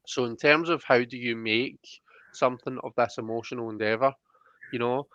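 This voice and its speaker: A man's voice: mid-range voice, fairly even intonation, normal speed, a bit bright, clear, resonant tone, no room sound, lo-fi sound. A man giving a conversational tarot reading, explaining each point in a reflective, searching way. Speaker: tarot reader.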